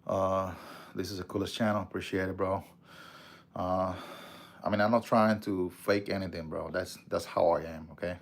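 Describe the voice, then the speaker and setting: A man's voice: low-pitched, flat delivery, moderate pace. A man with a strong Puerto Rican accent hosting a casual livestream, rambling, swearing freely and answering viewer comments. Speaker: livestream host.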